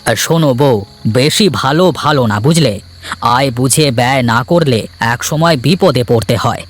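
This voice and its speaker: A man's voice: medium pitch, normal speed, natural voice. A man playing a thrifty, opinionated elder brother in a village cartoon. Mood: lecturing. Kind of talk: cartoon voice acting.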